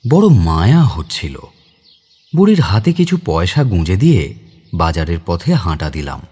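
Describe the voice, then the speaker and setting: Gentle reflective narrator, dramatised story, dark soft timbre, animated pitch, music behind. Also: male voice, husky, exaggerated voice. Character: kind, reflective man visiting his home village. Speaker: narrator and lead character.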